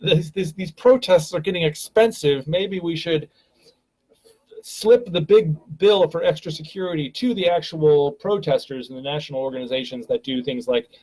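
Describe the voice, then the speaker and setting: A man's voice: fairly high pitch, normal speed, a little mellow, poor audio. A man hosting a live stream, talking casually and rambling with many fillers and restarts, from a home webcam.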